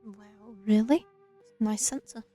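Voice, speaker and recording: A woman's voice: a little high, fairly even intonation, takes it slowly. A woman giving casual, wry gameplay commentary as she plays a video game. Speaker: let's play streamer. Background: video game music.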